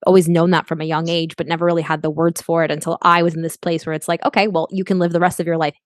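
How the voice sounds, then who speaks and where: A woman's voice: sits low, level tone, very fast, no echo, studio quality. A young adult woman, a life coach as a podcast guest, talking earnestly and reflectively with frequent restarts. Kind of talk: podcast interview.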